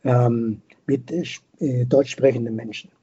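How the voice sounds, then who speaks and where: An older man with a foreign, non-native accent talks reflectively in an interview. His voice is very mellow and somewhat husky, and he halts and repeats words as he looks for the phrase. The recording is dull, like a limited call. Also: medium pitch, fairly even intonation. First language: not German; a non-native speaker of German. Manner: halting, repeats words while searching for phrasing. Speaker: podcast guest, veterinarian and hunter.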